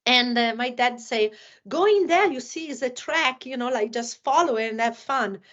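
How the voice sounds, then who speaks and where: A woman's voice: on the high side, lively intonation, moderate pace, a bit bright, highs cut off. A woman from Italy with an Italian accent, hosting a casual podcast chat and filling her talk with "you know, like". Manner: many fillers like "you know, like". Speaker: podcast host.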